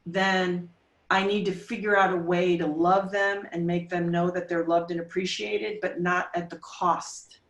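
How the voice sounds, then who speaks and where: A woman's voice: fairly low pitch, flat delivery, a little slow, far from the mic. Emphatic woman in her forties, roomy sound. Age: 40s. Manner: emphatic, coaching, punchy rhetorical questions. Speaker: podcast guest. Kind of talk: casual interview talk.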